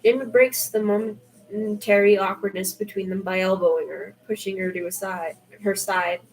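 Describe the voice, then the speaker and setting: A woman's voice: mid-range voice, steady tone, moderate pace, darkish tone, poor audio. A woman reading fiction aloud in an informal, slightly unpolished storytelling style. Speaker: podfic narrator reading fan fiction.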